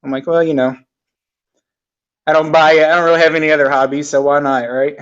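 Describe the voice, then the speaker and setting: A man's voice: a little high, level tone, a little fast, clear, resonant tone. Hesitant forty-year-old man on a video call. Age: 40s. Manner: hesitant, full of fillers, easygoing. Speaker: guest. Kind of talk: casual video-call chat. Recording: dull video-call audio.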